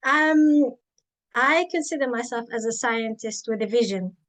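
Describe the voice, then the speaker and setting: A woman in her thirties, raised in the Emirates, telling her career story in an interview, thoughtful and pausing with many ums. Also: a little high, a bit bright. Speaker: guest, biomedical scientist and author. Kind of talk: interview, reflective personal story.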